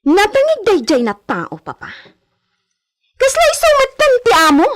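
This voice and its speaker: A woman playing a haughty, spoiled young landowner's daughter in a Filipino radio drama, with a Filipino accent and drawn-out, Spanish-flavoured phrasing. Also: very high-pitched, huge pitch swings, exaggerated voice. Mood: haughty, coaxing. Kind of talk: Ilocano radio drama acting.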